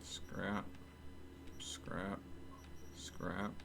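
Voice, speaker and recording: A man with a lowish voice streams a video game, talking very slowly and absent-mindedly to himself. His casual muttered commentary sits in clear game noise. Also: flat delivery.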